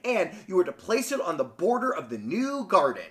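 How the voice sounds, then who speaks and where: A man's voice: sits high, wildly swooping pitch, brisk. A man reading a folktale aloud as a dutiful, timid old woman delivering messages. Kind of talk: storybook read-aloud.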